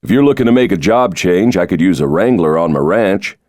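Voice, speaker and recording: A man plays a middle-aged country doctor in a thriller audiobook. He is wry and a little weary, delivering understated jokes in a low voice on a dry, close recording. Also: a little fast, a little mellow, dry recording, close to an everyday voice. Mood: dry teasing. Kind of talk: audiobook character dialogue.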